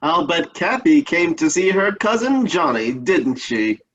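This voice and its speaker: A man plays a genial, folksy uncle in old-time radio drama. His voice is husky and moves freely up and down. The recording is somewhat dull. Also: on the high side, expressive, a little slow, fairly bright tone. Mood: jovial.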